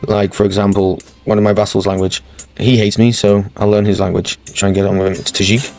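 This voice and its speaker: A man talks through a strategy game campaign in a low, level voice. His tone stays steady and matter-of-fact as he explains each choice, with soft music playing under him. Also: fairly quick, creaky. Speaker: gaming channel host. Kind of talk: strategy game playthrough commentary. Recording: faint music bed under the voice.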